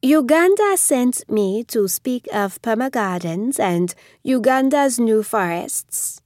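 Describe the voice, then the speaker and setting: Woman, formal measured announcer, dry close studio sound. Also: fairly high pitch, lively intonation, a little mellow, dry recording. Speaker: representative. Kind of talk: scripted roll-call reading.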